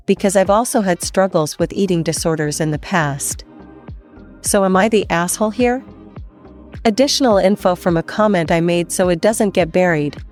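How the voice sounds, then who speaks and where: A woman reading an online post aloud as scripted first-person narration, in an even, measured manner. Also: on the low side. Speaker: story narrator.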